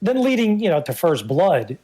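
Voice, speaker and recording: A man's voice: high voice, very animated, normal speed, mellow. A man in his fifties with a New York accent, a native Italian speaker, telling personal stories casually as a podcast guest. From the Bronx, New York, United States. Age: 50s. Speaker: guest co-host on a film podcast.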